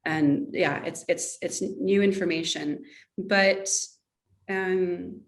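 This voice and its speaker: A fairly low-voiced woman talking in long, effusive, rambling turns, often doubling back to restart a thought. She is a guest on a video call. She sits a little away from her microphone, so her voice sounds distant. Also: steady tone, slow. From Russia. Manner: effusive, rambling, circles back mid-sentence. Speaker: author, interview guest. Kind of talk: casual interview chat.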